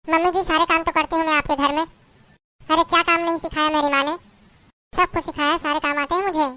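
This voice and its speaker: A woman's voice: very high-pitched, level tone, brisk, sharp tone, dry recording. A woman playing a young daughter-in-law who pleads with and defends herself against her mother-in-law in a family drama. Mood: pleading. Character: young married daughter-in-law, meek and put-upon.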